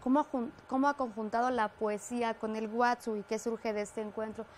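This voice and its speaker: Bright-voiced Mexican woman radio host. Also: on the high side, fairly even intonation, a little hiss or hum. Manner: brisk, reads listener questions, interjects. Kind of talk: radio call-in interview.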